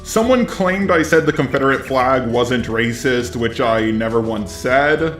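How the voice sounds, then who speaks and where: A synthetic text-to-speech man's robot voice delivering flat, self-deprecating commentary. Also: medium pitch, a little slow. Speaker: YouTube commentator responding to criticism. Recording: echoey, faint music behind.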